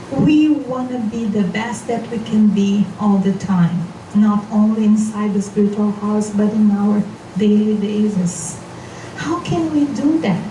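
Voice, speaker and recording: A woman whose first language is Portuguese, leading a spiritual seminar in English, speaking carefully and gently guiding her listeners. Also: medium pitch. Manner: slow, careful, gently guiding, with frequent restarts. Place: meeting hall of a spiritist society. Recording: echoey hall, voice from far off, noisy.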